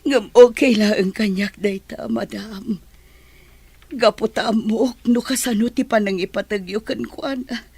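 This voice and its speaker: A woman with a Filipino accent playing a humble, guilt-ridden, injured nanny in an audio drama, speaking in a halting, plaintive way. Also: fairly high pitch, steady tone, vocal fry, dark tone, breathy edge, no room sound, full character voice. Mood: sorrowful. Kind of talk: Ilocano audio drama acting.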